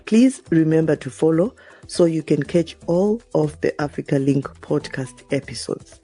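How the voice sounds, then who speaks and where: A man's voice: a little high, moderate pace, dark tone, slightly rough, faint music behind. A man presenting a podcast, reading a scripted sign-off to listeners. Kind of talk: scripted outro narration.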